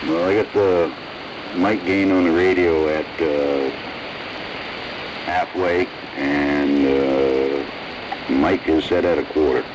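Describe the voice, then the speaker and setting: A man chatting casually and haltingly about technical details over CB radio. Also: rumbling low, steady tone, muffled recording. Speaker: amateur CB radio operator. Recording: noisy CB radio transmission, heater running. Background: background noise from heater.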